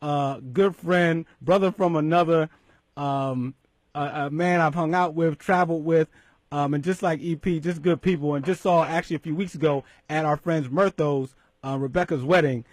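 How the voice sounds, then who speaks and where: A man's voice: a little high, fairly even intonation, moderate pace, no echo. Upbeat male radio host, dry studio sound. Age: middle-aged. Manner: upbeat, laughs a lot, stumbles and repeats words. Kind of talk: casual radio talk show hosting.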